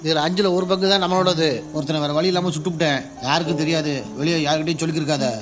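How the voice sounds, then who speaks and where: An actor playing a thief and husband who has a conscience. He protests quickly and roughly against betraying his partners. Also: on the high side, steady tone, close to an everyday voice. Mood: urgent. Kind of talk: Tamil film dialogue. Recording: film audio with music behind.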